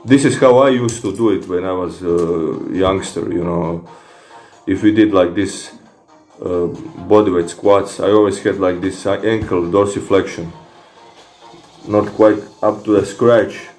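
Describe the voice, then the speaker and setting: A man with a Croatian accent who speaks Croatian natively, casually answering comments from viewers in a fitness vlog, halting with many fillers and restarts. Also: sits low, darkish tone, roomy sound, faint music behind. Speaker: fitness vlogger.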